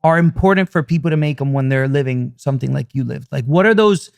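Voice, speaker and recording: A man's voice: mid-range voice, fast, creaky, dry recording. A man hosting a podcast interview, affirming his guest with frequent short agreements. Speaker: podcast host. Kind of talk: casual interview.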